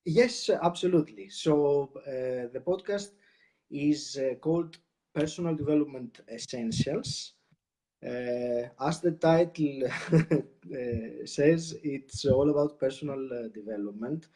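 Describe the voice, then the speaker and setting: A man from Greece, a guest co-host describing his podcast in a relaxed live-stream chat, pausing often with "uh". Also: medium pitch. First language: Greek. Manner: measured, many "uh" pauses. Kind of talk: casual interview chat.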